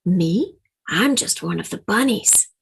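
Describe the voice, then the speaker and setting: A gentle male bear from a picture book speaks softly and hopefully, in a raised, sweet voice. A woman reading aloud puts him on. He talks slowly, with a lively, springy rise and fall. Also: high-pitched, voice pushed up, heavily acted. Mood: earnest. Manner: soft, earnest and hopeful. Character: gentle, big-hearted male bear who feels like a bunny.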